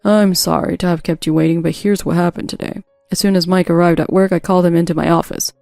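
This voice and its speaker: A woman's voice: fairly low pitch, fairly even intonation, fast, creaky, darkish tone, no echo, clean studio sound, soft background music. A woman voicing a polite, stern older father-in-law and boss in a scripted audio story. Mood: apologetic, serious.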